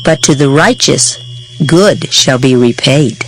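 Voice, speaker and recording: A reader giving a dramatized scripture reading with expressive, measured declamation. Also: mid-range voice, a little hiss or hum, rough recording. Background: background music.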